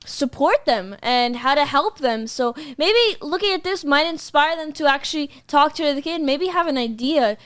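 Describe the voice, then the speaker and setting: A woman's voice: high voice, normal speed, hardly any pauses, no echo. A young woman co-hosting a family podcast from a home gaming room, talking casually and joking playfully into a studio mic. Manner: playful, boastful joking, animated. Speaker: podcast co-host. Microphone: studio mic on boom, headset.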